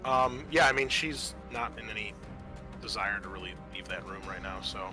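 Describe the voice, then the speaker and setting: A man's voice: lowish voice, fairly even intonation, moderate pace. A man narrating a tabletop roleplaying game as game master, casually and hesitantly, improvising as he goes. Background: background music.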